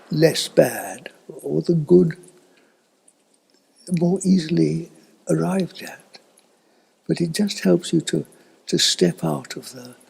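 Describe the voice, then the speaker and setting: An elderly man giving a slow, reflective spiritual talk in a husky, breathy voice that often fades toward a whisper, with long thoughtful pauses. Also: mid-range voice, slight background noise, poor audio. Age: older, elderly. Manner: contemplative, halting with long pauses. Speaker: spiritual teacher.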